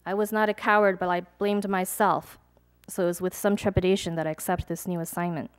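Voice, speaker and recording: A woman's voice: fairly low pitch, little pitch movement. Woman reading her poems to an audience. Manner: measured, deliberate reading with chatty hesitant asides between poems. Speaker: poet. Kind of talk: poetry reading with casual asides to an audience. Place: live reading event before an audience.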